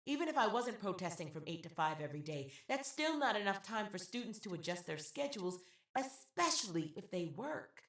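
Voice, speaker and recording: An actress playing a proud, sharp-tongued, working-class Jamaican college student, fed up and sardonic, in a theatrical audio-play monologue. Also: female voice, medium pitch, vocal fry, dry recording. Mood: hurried, restrained. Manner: sardonic, animated, theatrical storytelling.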